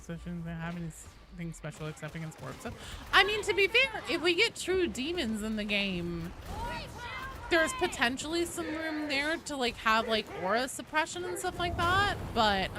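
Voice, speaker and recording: A woman's voice: a little high, animated, moderate pace, background noise. A woman chatting casually and swearing freely while she live streams a game. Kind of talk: casual live gaming chat.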